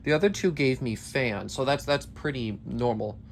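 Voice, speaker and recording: A man's voice: medium pitch, normal speed, gravelly. Young man, tired voice, casual rambling vlog, phone camera in a basement, faint background hum. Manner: rambling, eager, with many restarts. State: tired, slightly worn voice. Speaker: ceiling fan collector. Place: basement collection room.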